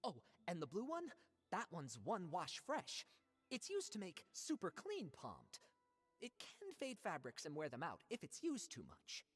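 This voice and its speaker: An actress playing an exasperated, emphatic young worker in a video game. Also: female voice, lowish voice, unhurried, husky, big-room sound, faint music behind, heavily acted. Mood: explaining. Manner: expressive, emphatic. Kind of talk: game voice acting.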